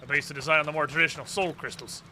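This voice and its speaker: Man putting on a fast-talking, salty gunsmith mentor. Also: on the high side, steady tone, sharp tone, slight background noise. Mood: brisk instructing. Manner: salty, colloquial, fast instructing. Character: gruff, brisk gunsmith mentor from a fantasy game. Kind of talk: reading game dialogue in character.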